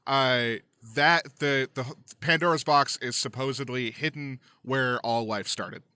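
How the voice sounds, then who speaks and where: A man's voice: mid-range voice, a little slow, bright, forceful tone, clean tone, no echo. A man co-hosting a podcast in casual chat, making brief dry remarks. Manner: brief dry interjections, laughs. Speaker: podcast co-host.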